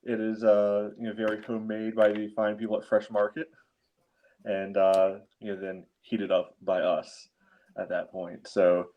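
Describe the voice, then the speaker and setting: A man's voice: on the low side, completely flat delivery, a little slow, mellow, highs cut off. A man co-hosting a casual sports chat, rambling a little with hesitant, wry understatement. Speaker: podcast co-host.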